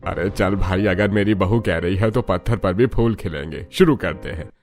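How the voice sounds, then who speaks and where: A man's voice: deep voice, moderate pace, a little mellow. A man voicing an older father-in-law, a proud family elder, in a cartoon story. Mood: encouraging. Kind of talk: Hindi cartoon story dialogue.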